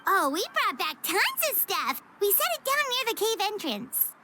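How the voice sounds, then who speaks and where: Game casting: excitable little floating fairy, extremely high, squeaky child voice, very animated. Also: female voice, moderate pace, very noisy. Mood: cheerful. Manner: gushing, refers to self by name.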